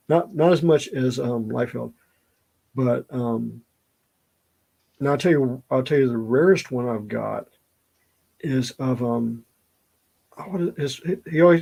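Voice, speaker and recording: A man's voice: medium pitch, gravelly, darkish tone, breathy edge. A middle-aged man with a Southern US accent chatting casually and telling stories in a rambling way. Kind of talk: casual chat, storytelling.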